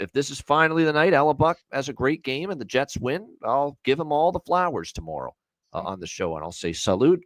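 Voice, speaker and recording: A man's voice: medium pitch, a bit bright, no echo. A man hosting a sports betting show, going over picks and plugging the show in a chatty, upbeat way.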